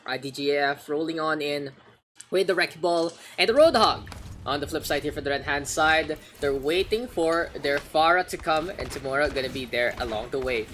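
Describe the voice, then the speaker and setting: A man with a Filipino accent casting a live online game match, slightly hoarse, his play-by-play climbing louder when the action heats up. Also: fairly high pitch, slight background noise. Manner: rapid play-by-play, rising louder in action calls. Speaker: esports caster.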